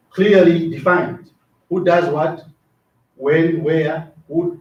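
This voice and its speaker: A middle-aged man teaching a training class. His voice sits a bit high for a man, and he keeps asking the class questions and tagging "right?" onto his points. He sounds far from the mic in a very echoey room, and the recording is dull. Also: a little mellow. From Zambia. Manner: asks the class questions, repeats words, drops in "right?" often. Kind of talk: classroom lecture.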